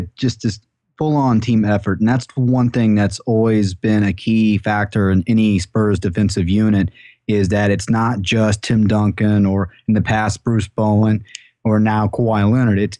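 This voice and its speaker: A man's voice: fairly low pitch, fairly even intonation, moderate pace, warm tone, no room sound, studio quality. A man giving earnest, wordy, conversational sports analysis as a podcast guest.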